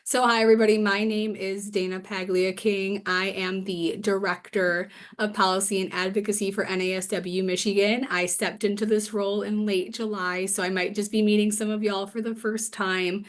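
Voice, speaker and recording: A young woman with a raspy voice from a cold, hosting an online forum with chatty, upbeat talk and many fillers. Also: mid-range voice, level tone, normal speed. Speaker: host. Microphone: video call.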